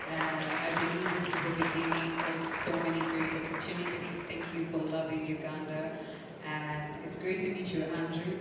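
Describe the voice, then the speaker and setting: Low flat-voiced woman in a hall. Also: distant mic, very noisy. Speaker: voice actress. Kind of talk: short thank-you speech. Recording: echoey hall, mic from afar, crowd.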